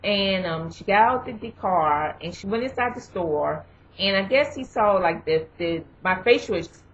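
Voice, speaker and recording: A young woman vlogger giving casual advice, rambling and filling pauses with "you know" and "um". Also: mid-range voice, animated, slight background noise. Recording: dull lo-fi recording.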